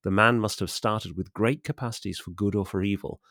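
A man's voice: fairly low pitch, fairly even intonation, fairly quick, fairly bright tone, dry recording, studio quality. A man co-hosting a podcast, discussing and quoting literature in a wry, discursive, conversational manner. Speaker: podcast host.

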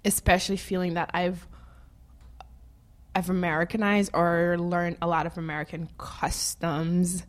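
Woman, from Haiti, interviewee, casual rambling talk, frequent laughter, somewhat low voice. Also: flat delivery, a little slow. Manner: rambling, laughs often, many fillers.

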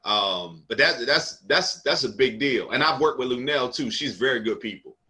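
A man from South Jersey, a comedian hosting a casual conversation, relaxed and quick to agree with his guest. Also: mid-range voice. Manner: laid-back, frequent "right, right" agreement. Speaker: comedian and host.

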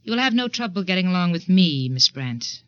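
Prim, low-voiced, icily polite secretary. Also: female voice, big pitch swings, a little fast, a bit bright, dry recording. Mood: primly warning. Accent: American. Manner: formal, precise, icily polite. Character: prim, resentful female secretary, coolly insinuating. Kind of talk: 1940s radio suspense acting. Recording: dull, dry 1940s radio recording.

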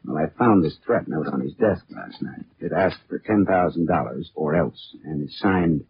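An actor playing a wisecracking, world-weary private investigator in a 1940s American radio drama, talking in wry, casual slang. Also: male voice, very deep voice, normal speed. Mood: wry, probing. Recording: muffled, noisy vintage radio recording.

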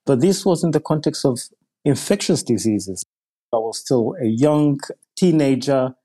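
A man, a physician guest on a podcast, explaining in a measured way with small hesitations. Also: medium pitch, dark tone. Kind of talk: podcast interview answer.

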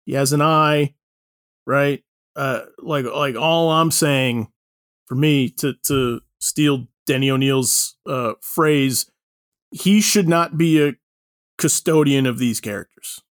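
A man's voice: medium pitch, takes it slowly, no room sound, clean studio sound. A man hosting a casual panel chat, talking informally with the others. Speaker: podcast host.

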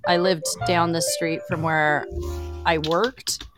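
A woman's voice: low-pitched, little pitch movement, slow, over music. A woman from Southern California chatting casually as a guest on a talk show. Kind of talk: casual chat.

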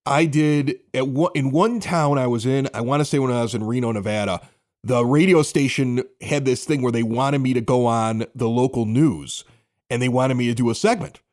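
A man hosting a local podcast with an animated radio-announcer delivery. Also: mid-range voice, normal speed. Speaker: podcast host. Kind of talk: scripted local news reads and casual bar chat. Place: at a bar.

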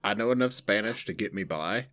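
Very deep-voiced joking woman. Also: fairly quick, fairly bright tone. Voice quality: naturally deep voice. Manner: joking, casual swearing. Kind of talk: casual chat.

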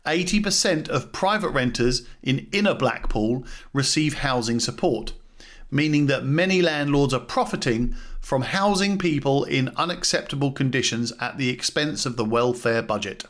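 A man's voice: mid-range voice, moderate pace, fairly bright tone. A man who hosts a podcast, reading a formal document aloud as scripted narration. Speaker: podcast host.

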